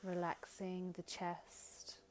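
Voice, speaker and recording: A woman leading a guided meditation in gentle, soothing, unhurried short phrases with long pauses. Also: fairly low pitch, completely flat delivery, a little hiss or hum. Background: background music.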